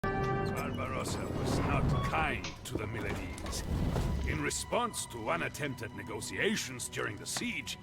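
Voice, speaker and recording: Male video game chronicler: theatrical, deliberate, relishing each phrase, lively intonation, gravel in the voice, music underneath. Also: medium pitch. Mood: grand, solemn. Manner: dramatic, deliberate, savoring phrases. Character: theatrical male storyteller recounting medieval history with relish. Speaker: narrator. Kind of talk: dramatic scripted storytelling narration for a video game.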